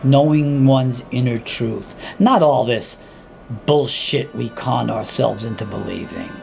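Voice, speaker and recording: A man reading his own dramatic monologue in a deliberate, intense spoken-word style, with weighty pauses and repeated words. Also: medium pitch, gravelly, darkish tone, soft background music.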